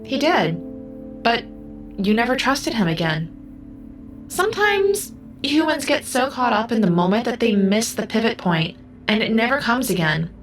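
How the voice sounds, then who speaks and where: Solemn all-seeing computer being, music behind. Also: female voice, a little high, very animated, unhurried. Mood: solemn, sad. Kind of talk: audio drama acting. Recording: music under the voice.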